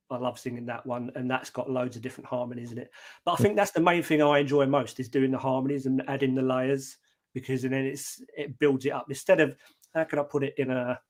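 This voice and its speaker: A man with a deep talking voice answers interview questions about his singing. He speaks in a relaxed, plain-spoken way, often pausing on an um before he finds his point, and his pitch sits around the middle for a man. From Britain. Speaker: guest singer.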